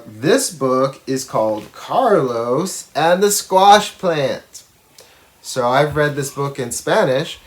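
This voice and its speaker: Animated man reading aloud to children. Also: mid-range voice. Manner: expressive, explains pictures and checks in with listeners. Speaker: educator reading a picture book to schoolchildren. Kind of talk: read-aloud storytelling with asides and questions to young listeners.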